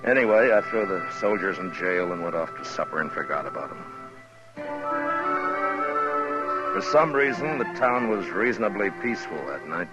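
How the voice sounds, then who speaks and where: An actor playing a gruff, world-weary but fair frontier marshal who also narrates a 1950s radio western. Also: male voice, sits low, expressive, a little fast, over loud music. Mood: weary narration. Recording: muffled old radio recording.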